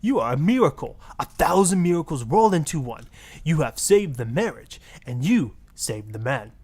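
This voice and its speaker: A flamboyant fake-Latin love guru. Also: male voice, mid-range voice, a little mellow, slightly rough. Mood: grandiose. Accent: put-on Latin. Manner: grandiose, preachy, easily offended. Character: flamboyant self-righteous fake-Latin marriage guru and pimp. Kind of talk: parody radio talk-show guest.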